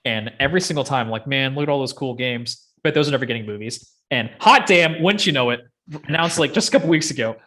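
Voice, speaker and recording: A man's voice: medium pitch, fast, sharp tone. A man in casual chat as a podcast co-host, talking like an enthusiastic fan with plenty of slang. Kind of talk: casual chat about video game movies.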